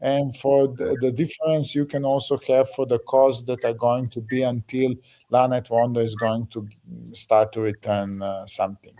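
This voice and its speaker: A man leading a project, explaining it to a group haltingly, with restarts and fillers. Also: mid-range voice, little pitch movement, warm tone. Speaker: project leader answering questions.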